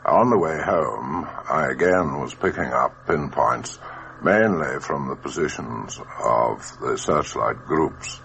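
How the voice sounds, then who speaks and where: Middle aged veteran guy, super low gravelly voice, remembering war days, sure of his details. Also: slow pace, a bit bright. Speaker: former squadron leader, interviewee. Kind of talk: recounting wartime memories. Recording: faint hum and hiss.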